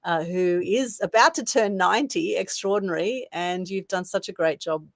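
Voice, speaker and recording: A woman's voice: lowish voice, normal speed, no room sound, studio quality. A woman hosting an online interview show, introducing and praising her guests with enthusiasm. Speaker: talk show host.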